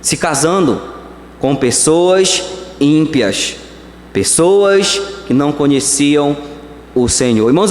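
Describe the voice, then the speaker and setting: A Brazilian man preaching a sermon as pastor, swinging between slow emphatic words and quick phrases. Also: fairly high pitch, a little hiss or hum. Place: church hall. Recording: echoey church hall.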